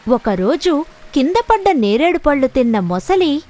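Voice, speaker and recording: A woman's voice: sits high, big pitch swings, a little slow, warm tone. A woman narrating a scripted children's story. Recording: music behind the voice.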